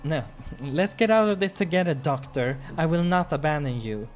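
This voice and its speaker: A man's voice: a little high, expressive, normal speed, slight background noise, pitched above the performer's own voice, lightly acted. A man voicing an earnest, loyal young male adventurer in a visual novel, anxious and pleading in a strained voice.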